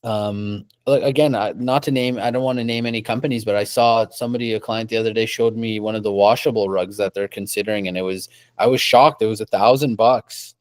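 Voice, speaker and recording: A man's voice: lowish voice, fairly even intonation, fairly quick, few pauses, no echo. A young man from Canada, a rug dealer, answering interview questions casually at his shop desk, often repeating and restarting words.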